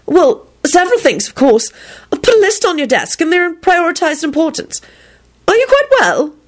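A woman's voice: very high-pitched, big pitch swings, normal speed, voice pushed up. A woman voicing an irritable, disapproving, fussy female secretary in an audiobook, with a prim, suspicious manner. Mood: prim, worried.

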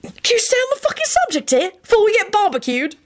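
A woman's voice: extremely high pitch, very animated, slow, voice pushed up, full character voice. A woman voicing a brash, self-important, foul-mouthed young man in a story, with a scornful and lofty manner. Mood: outraged.

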